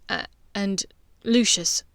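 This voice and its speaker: Young wizard son, audiobook, played by a woman: begrudging, awkward, halting, embarrassed, middling pitch for a woman. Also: a bit bright, no room sound, studio quality.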